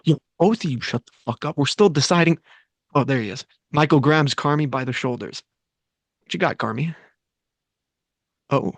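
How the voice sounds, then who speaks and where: A man's voice: on the high side, normal speed, vocal fry, long pauses, dry recording. A man reading the part of a bossy, protective older brother who talks in a fired-up, encouraging way.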